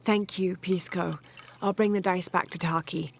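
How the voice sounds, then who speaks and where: An actress voicing a resolute young woman adventurer, speaking in calm, measured, earnest tones in a video game cutscene. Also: lowish voice, gravelly, slight background noise. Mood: thoughtful. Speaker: explorer aiding a rebellion. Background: game music score.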